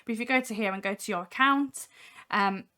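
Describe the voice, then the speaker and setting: A young woman hosting a casual software demo livestream, talking from home with a voice made husky by a cold, chatty with many ums. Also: mid-range voice, brisk. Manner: chatty, many ums, reads chat aloud. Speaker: livestream host.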